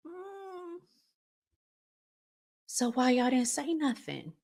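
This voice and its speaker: A woman's voice: sits high, sing-song, extremely slow, vocal fry, darkish tone. An American woman hosting a relationship advice channel, talking casually and emphatically in colloquial language and drawing out her key words. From United States. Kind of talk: casual advice talk with acted-out examples.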